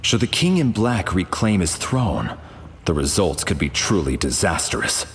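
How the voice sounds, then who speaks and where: A man's voice: low voice, big pitch swings, measured pace, slight background noise, exaggerated voice. A man voicing a solemn cosmic champion in a video game, with formal, lofty, grandiose phrasing. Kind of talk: video game character acting. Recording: long reverb, music behind.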